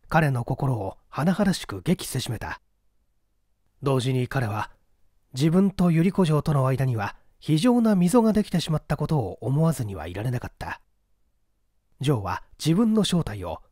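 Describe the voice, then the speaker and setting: Male audiobook narrator, dramatic literary mystery reading, expressive pitch movement, dry sound. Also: dry recording.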